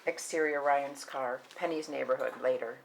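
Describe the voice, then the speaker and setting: A woman's voice: a bass voice, normal speed, vocal fry, a little hiss or hum, low-quality recording. A woman reading scripted stage directions at a table read.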